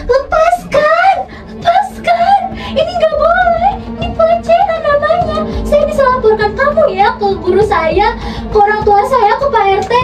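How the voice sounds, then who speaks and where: Woman acting an emotional, very high-voiced young schoolgirl. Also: normal speed, recorded from a distance. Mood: panicked, crying. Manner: pleading, emotional delivery. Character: frightened schoolgirl, polite, then tearful and defiant. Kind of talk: dramatic storytelling performance. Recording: echoey room from afar, background music.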